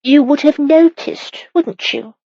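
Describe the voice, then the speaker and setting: A woman voicing an anxious, stubborn young medieval wife in a romance audiobook, with breathy, emotional, drawn-out delivery. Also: high-pitched, no room sound, lo-fi sound, pitched above the performer's own voice, exaggerated voice. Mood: earnest, tipsy.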